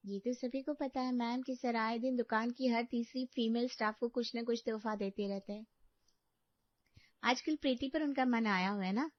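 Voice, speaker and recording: A woman's voice: a little high, no echo, natural voice. A woman voicing a chatty young female shop employee who gossips about her boss. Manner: chatty, insinuating. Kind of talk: cartoon story dubbing. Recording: dry dull lo-fi studio.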